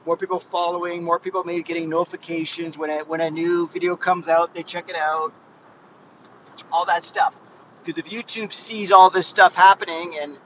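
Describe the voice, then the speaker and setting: A man's voice: high voice, a bit bright, muffled recording. A man in his fifties rambling casually in a live vlog while driving, with many restarts and frequent asides to his viewers. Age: 50s. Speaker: fitness vlogger. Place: inside a moving car. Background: faint car and road noise.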